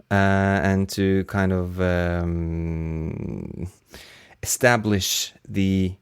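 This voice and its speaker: A man explaining his sound design work in a casual, halting interview style with frequent hesitations. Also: sits low, clean tone. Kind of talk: casual behind-the-scenes explanation.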